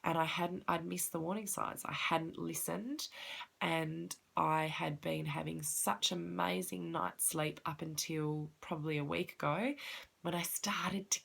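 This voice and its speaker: A woman's voice: low voice, gravelly, fairly bright tone. A woman with a congested, sniffly voice, talking earnestly and heartfelt in a personal reflective talk to her viewers. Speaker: wellness vlogger.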